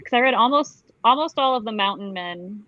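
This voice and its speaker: A woman's voice: on the high side, expressive, a little fast, no echo. A woman in casual conversation about books on a livestream. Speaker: book-chat livestream participant. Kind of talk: casual chat. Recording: dry, dull lo-fi livestream audio.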